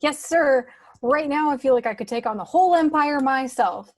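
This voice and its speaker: A woman's voice: high voice, moderate pace, lightly acted. A woman playing an eager, fresh-faced young male gunner in an amateur table read of a film script. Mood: eager, confident.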